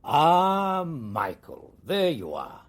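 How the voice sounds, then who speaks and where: An actor playing a suave, faintly menacing Syrian minister in a radio drama, husky and formally polite. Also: male voice, a little high, wildly swooping pitch, drawn-out words, heavily acted. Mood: suave, pointed.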